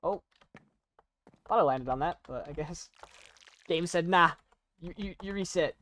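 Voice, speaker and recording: A young adult chatting casually while playing and commentating on a video game, rambling in a halting, self-deprecating way. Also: medium pitch, a bit bright. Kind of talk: casual gaming commentary and chat.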